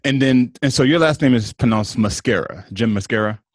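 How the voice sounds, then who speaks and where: A man's voice: mid-range voice, a little fast, no echo, studio quality. A man hosting a podcast, welcoming a guest and asking questions in a hesitant way with many restarts. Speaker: podcast host.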